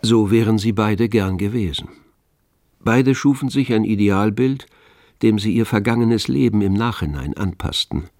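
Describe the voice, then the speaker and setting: Man as low-voiced, wry literary narrator. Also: normal speed, a little mellow, dry recording, clean studio sound, natural voice. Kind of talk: scripted radio drama narration.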